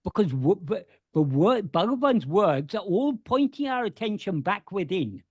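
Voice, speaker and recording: A man explains a spiritual teaching over a video call in an earnest, searching way, often repeating words before going on. His voice sits high and swings widely in pitch. The sound is dry and close. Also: sing-song, moderate pace, breathy edge, no echo. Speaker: spiritual teacher answering questions.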